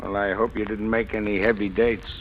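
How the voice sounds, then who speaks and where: A man's voice: on the low side, flat delivery, brisk, a little hiss or hum, natural voice. Man acting a cynical henchman, dull old radio sound. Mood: offhand. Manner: slangy, knowing, offhand. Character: chatty, cynical small-town gangster henchman. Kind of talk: 1940s radio crime drama acting. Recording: dull old radio recording, loud music behind.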